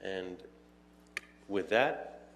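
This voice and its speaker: A man's voice: deep voice, expressive, extremely slow, heavy background noise. A man chairing a city committee hearing, talking informally with repeated words and fillers.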